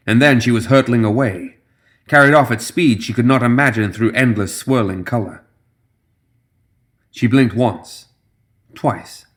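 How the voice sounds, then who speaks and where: A man reading scripted fantasy fiction as an audiobook narrator. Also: on the low side.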